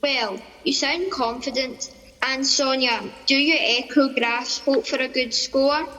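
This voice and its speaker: Chirpy, polite contest presenter, bright tone in reverberant space, radio comedy. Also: female voice, high-pitched, unhurried. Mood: chirpy, presenting. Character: polite, chirpy narrator-host of a cooking contest spoof. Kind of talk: radio comedy play acting.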